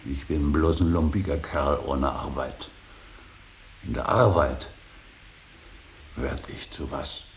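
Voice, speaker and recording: A stern older professor of painting with an extremely low, gravelly voice. He speaks earnestly and deliberately, hammering on words as if preaching duty. It is a radio play with tape hiss. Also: exaggerated voice. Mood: earnest, admonishing. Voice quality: gravelly, very deep. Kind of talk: German radio play acting. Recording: hissy radio drama recording.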